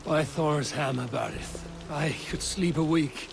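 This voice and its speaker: Solemn, resolute Viking warrior. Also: male voice, a little high, creaky, background noise. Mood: fond, relaxed. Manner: solemn, measured, archaic phrasing. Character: loyal battle-hardened male Viking warrior, proud and resolute. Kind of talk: dramatic video game acting. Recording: music behind the voice.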